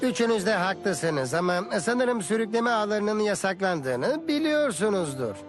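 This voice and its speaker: An actor playing an animated, wise old teacher in a dubbed educational cartoon, with a creaky voice. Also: male voice, sits high, a little slow, over music. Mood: reassuring. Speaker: cartoon mentor.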